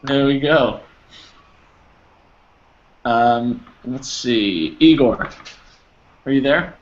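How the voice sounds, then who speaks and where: A man teaching an English class over a video call, patient and encouraging, explaining slowly with examples. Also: medium pitch. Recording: slightly dull audio with faint noise.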